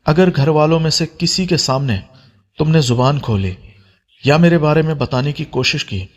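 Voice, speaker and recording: A man's voice: on the high side, moderate pace, lo-fi sound, natural voice. A man voicing an angry, threatening young man in a romance audio story, with a commanding manner. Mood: menacing.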